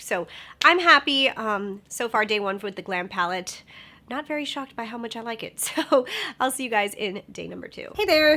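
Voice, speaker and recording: A young woman with a slightly nasal voice chatting casually through a makeup tutorial, upbeat and sometimes dropping to a soft whisper. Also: fairly high pitch. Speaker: beauty vlogger.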